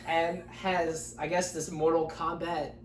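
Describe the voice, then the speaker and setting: Higher-voiced man, casual and exasperated, echoey room. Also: level tone, takes it slowly. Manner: profane, exasperated, digressive. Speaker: co-host of a film review show. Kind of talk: casual, unscripted film review chat. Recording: echoey room, distant mic, faint noise.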